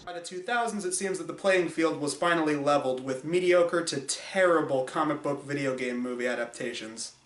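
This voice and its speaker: A man's voice: a little high, a little fast, few pauses. A man hosting a show with opinionated scripted commentary, informal and sarcastic in delivery. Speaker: video show host. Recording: echoey room.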